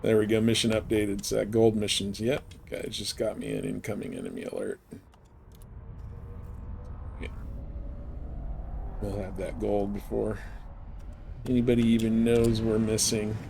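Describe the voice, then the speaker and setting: A man's voice: fairly low pitch, unhurried, vocal fry, pauses often, background noise. A man giving casual live game commentary, rambling and thinking aloud as he plays. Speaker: game streamer.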